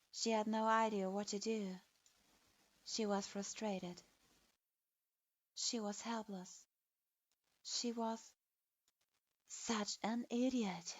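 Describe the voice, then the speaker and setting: Woman narrating a dark story, dry recording. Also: a little high, no echo, dull audio. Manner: steady, even reading of a dark story.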